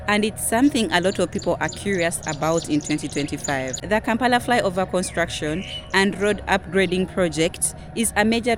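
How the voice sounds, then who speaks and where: Chatty Ugandan woman vlogger, lower voice. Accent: Ugandan English. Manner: chatty, says "guys" constantly, drops to a low murmur on it. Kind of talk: casual talking-to-camera vlog.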